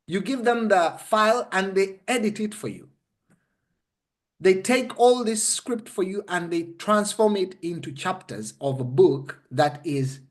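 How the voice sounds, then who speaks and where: Ugandan male, high-pitched for a man. Conversational coach, instructive and emphatic, checks the listener follows. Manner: emphatic, instructive, checks understanding with short questions. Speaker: interview guest explaining a method.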